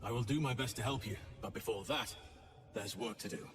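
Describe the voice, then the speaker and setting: A man plays a solemn, protective druid leader from a fantasy video game. He speaks formally and with measured resolve. The voice sits back from the mic in a reverberant hall, with loud music behind. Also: lowish voice, close to an everyday voice. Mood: grim, resolute. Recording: echoey hall, distant, under loud music.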